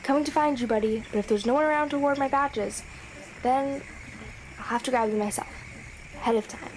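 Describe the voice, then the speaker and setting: A woman's voice: high voice, brisk, lightly acted. An actress playing an earnest, rule-abiding young girl scout chattering dutifully to herself in a radio audio drama. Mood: eager, dutiful. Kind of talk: scripted audio drama monologue. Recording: noisy radio transmission.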